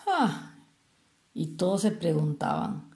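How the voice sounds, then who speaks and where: A woman from Venezuela with a Venezuelan Spanish accent, telling a traditional tale expressively and explaining things as she goes, with deliberate pauses. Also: on the low side, sing-song, a little mellow. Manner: didactic, explains terms with rhetorical questions and pauses. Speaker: storyteller.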